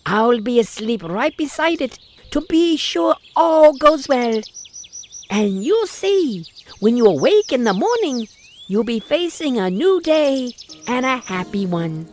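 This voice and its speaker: Woman playing a slow, mystical fortune-teller. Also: on the high side, sing-song, darkish tone, slight background noise. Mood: reassuring. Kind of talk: old-time radio drama acting.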